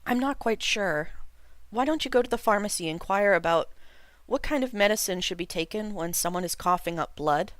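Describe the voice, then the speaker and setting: A reader doing a soft-spoken worried queen mother. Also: medium pitch, animated, a little fast, dry recording, voice pushed up, lightly acted. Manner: soft, pleading, concerned. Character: gentle worried middle-aged queen mother. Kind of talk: fiction read aloud.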